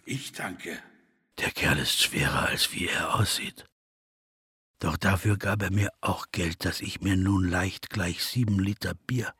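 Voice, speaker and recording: A man's voice: low voice, a little slow, sharp tone, husky, dry recording, exaggerated voice. An actor playing a brash, shameless strong man who freeloads in a tavern, blunt and dismissive, in a radio play. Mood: muttering.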